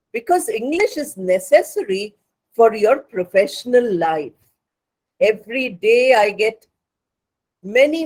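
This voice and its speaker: A woman's voice: on the high side, a little mellow, low-quality recording. A woman with an Indian accent and Bengali as her native language, giving an instructional lecture as a spoken English teacher, didactic and repeating points for emphasis.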